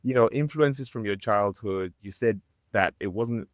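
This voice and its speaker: Enthusiastic man hosting, halting delivery. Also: on the low side, no room sound, studio quality. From Africa. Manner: enthusiastic, halting with many fillers. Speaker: podcast host. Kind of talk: casual interview chat.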